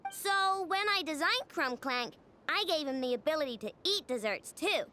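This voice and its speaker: A woman's voice: sits high, animated, normal speed, bright, forceful tone, a little hiss or hum. A woman voicing a spirited young girl inventor in a game, determined and protective of her robot. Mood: explaining. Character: earnest young female inventor, stubborn and kind-hearted. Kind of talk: game dialogue voice acting.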